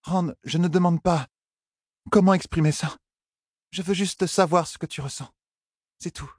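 An earnest young noblewoman in love, played by a male narrator, speaking high and husky in a storybook reading. She is soft and coy, yet bold, and the sound is close and dry. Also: fairly even intonation, moderate pace, no room sound. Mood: pleading, bold.

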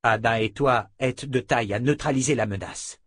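An earnest young man, protective and anxious, as a narrator plays him, in a fantasy audiobook. The voice is somewhat husky and very dry, set a little above mid pitch. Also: moderate pace, dry recording, natural voice. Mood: angry, urgent.

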